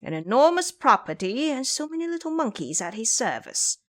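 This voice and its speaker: A woman's voice: sits high, very animated, normal speed, voice pushed up. Woman playing a sulky, bumbling male pig monster. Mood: envious musing. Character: foolish, whining, pouty male pig monster disciple. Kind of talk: audio drama acting.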